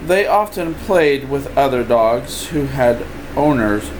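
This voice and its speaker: Older grandpa guy reading a kids story real slow, informal, clear hum of room noise behind him. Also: medium pitch. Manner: expressive, informal. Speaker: grandfather reading a picture book aloud. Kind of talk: storybook read-aloud with playful asides. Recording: steady room noise, air conditioning hum.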